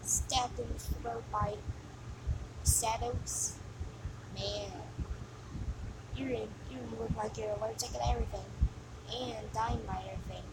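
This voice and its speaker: A woman's voice: on the high side, steady tone. A woman voicing a mocking, sneering demon who taunts the player in amateur video game voice lines. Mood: bored, exasperated contempt. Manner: taunting, scornful, drawn-out sighing delivery. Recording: noisy amateur home recording.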